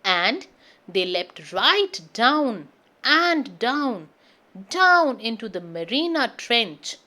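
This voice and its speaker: Female storybook reader for young children, high voice, slow and very lively. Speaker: children's read-aloud host. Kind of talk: expressive storybook narration for children.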